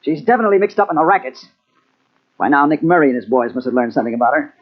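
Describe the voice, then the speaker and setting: Intense, hardboiled radio investigator, swooping delivery. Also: male voice, on the high side, expressive, rapid-fire. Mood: insistent. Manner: dramatic, hardboiled, urgent. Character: intense, obsessed male investigator narrating his own story. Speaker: lead actor and narrator. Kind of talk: old-time radio mystery acting. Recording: dull, muffled old radio tape.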